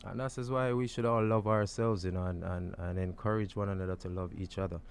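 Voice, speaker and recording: A man co-hosting a radio talk show, easygoing and quick to agree. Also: low-pitched, steady tone, a little hiss or hum. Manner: easygoing, agreeing often, repeats himself. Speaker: radio co-host.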